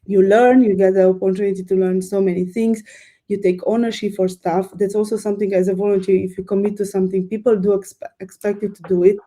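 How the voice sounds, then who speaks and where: A woman's voice: medium pitch, level tone, mellow. A woman in her thirties with a Romanian accent, a guest telling stories eagerly in a casual interview. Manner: fast, eager storytelling with fillers.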